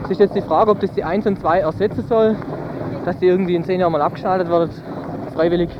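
A man's voice: sits high, fairly even intonation, quick pace. A man, interviewed casually as an activist, chatty and ending his points with tag questions. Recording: dull outdoor recording with loud traffic.

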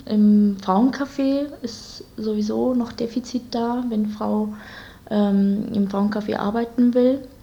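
A woman's voice: mid-range voice, warm tone, muffled recording. A young woman answering as an interview guest in a casual, spontaneous way. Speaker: interview guest, student activist.

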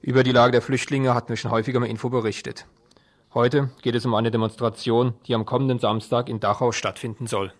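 Even-toned man presenting radio news. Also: medium pitch, quick pace. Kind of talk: scripted news narration.

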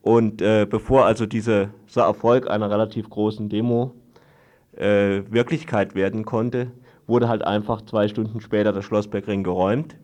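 A man giving an unscripted radio report, explaining things haltingly in long-winded sentences. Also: fairly low pitch, fairly even intonation, a little mellow. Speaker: guest reporting on a court trial.

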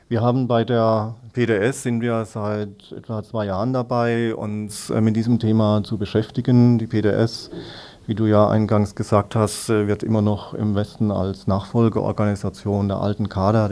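A man speaking as a political spokesman and guest in a radio interview, explaining things in halting phrases. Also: lowish voice, warm tone.

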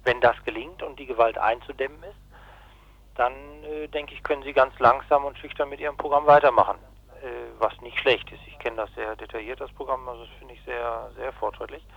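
A man's voice: mid-range voice, steady tone, fairly quick, creaky. A man being interviewed on the radio, explaining his assessment analytically and hesitantly, thinking aloud as he goes. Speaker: interview guest, election observer. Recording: dull lo-fi radio recording.

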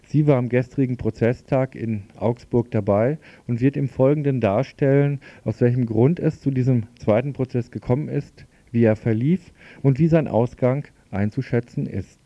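A man's voice: medium pitch, normal speed, few pauses, warm tone, dry recording. A man presenting a radio news report as host and interviewer, on a slightly dull recording.

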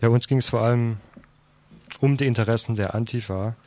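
A man's voice: mid-range voice, fairly quick, a little mellow. A male radio reporter in an unscripted live discussion with a caller, explaining himself in a hesitant, defensive manner.

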